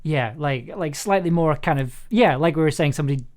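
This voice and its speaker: A man directing an audio drama, giving casual, encouraging feedback in a halting, repetitive way. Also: fairly high pitch, a little mellow. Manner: hesitant, encouraging, repeats phrases.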